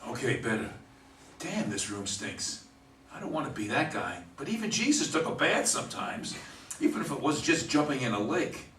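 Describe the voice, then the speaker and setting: A man's voice: mid-range voice, very animated, moderate pace, a bit bright, reverberant. A jittery, sarcastic drunk who needs detox played by a man in a stage reading. He is hesitant and exasperated, with a swooping delivery, caught by a distant mic with faint noise. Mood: uneasy.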